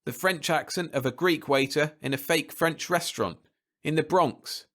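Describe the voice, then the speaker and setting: A man's voice: on the high side, moderate pace, a bit bright, voice pushed up, close to an everyday voice. A man voicing a brash television man in a story, blunt, informal and scoffing. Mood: mocking, amused.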